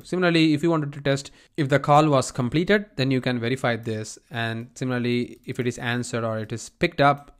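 A man with a mid-pitched voice narrates a screencast as a software tutorial presenter. His delivery is informal and explanatory, and he tends to repeat phrases as he walks through the steps. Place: voice-over on a screen recording.

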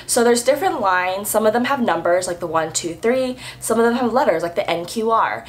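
A young woman in her twenties talks straight to the camera in a chatty, upbeat explainer vlog. Her voice sounds a little rough and off from a recent flu. She is recorded indoors on a camera at chest height. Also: mid-range voice, brisk. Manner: chatty, upbeat, lively hand gestures. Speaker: vlogger. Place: indoor room with french doors.

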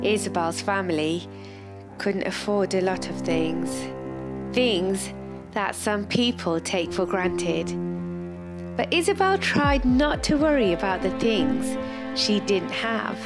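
A young woman narrates a children's storybook at a slow, measured pace. Her delivery is expressive and deliberate, with careful pauses, over clearly audible background music. Her pitch sits in a middle range for a woman. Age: young adult. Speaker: primary school teacher reading a storybook. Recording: music playing behind the voice.

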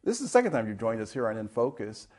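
A middle-aged man hosting a studio interview in a voice of ordinary pitch. He often restarts and repeats words while he frames a question. It sounds like a lapel mic in a television studio. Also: big pitch swings, quick pace, a little mellow. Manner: stumbling, repeating words when asking questions. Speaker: TV host.

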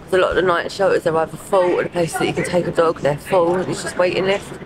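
A woman in her thirties with a South London accent answers hesitantly in a street interview. Her replies are short and plain. Traffic and street noise sit clearly behind her. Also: fairly low pitch, fairly even intonation, fast. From Croydon, South London, England. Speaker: homeless interviewee. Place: outdoors in a city doorway at night. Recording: noisy street with traffic ambience.

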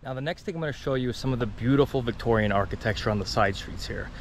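A man's voice: medium pitch, fast. A man in his thirties giving a casual, chatty walking tour outdoors, filmed on a handheld camera at arm's length, with plenty of ums and you-knows. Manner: casual, chatty, many fillers. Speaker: travel vlogger. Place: outdoors on small-town streets. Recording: outdoor street noise behind.

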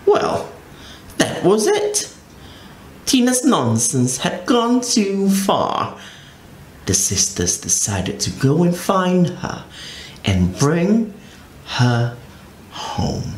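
A man reading a children's picture book aloud as a storyteller, in an expressive, very animated way. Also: sits high, drawn-out words, long pauses. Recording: echoey room with faint ambient noise.

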